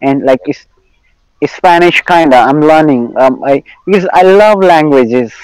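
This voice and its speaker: A young man with an Indian accent and Hindi as his native language, chatting casually as an English learner, halting and repeating himself as he searches for words. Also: on the high side, animated, a little mellow, clear, resonant tone, dry recording, rough recording. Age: young adult. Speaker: English learner in online conversation. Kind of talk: casual chat.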